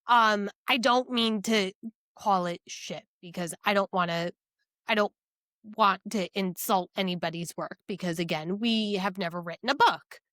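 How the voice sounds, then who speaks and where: A woman's voice: medium pitch, moderate pace, fairly bright tone, no room sound, clean studio sound. A woman co-hosting a podcast, chatting casually about books in a blunt, emphatic way with some swearing. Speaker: podcast co-host. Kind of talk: casual book review chat.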